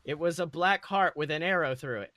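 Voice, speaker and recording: Male podcast host, bright dry sound, theatrical and amused mock-serious fanfiction narration. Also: fairly high pitch, a little fast, no room sound. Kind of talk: mocking storytelling, reading fanfiction aloud.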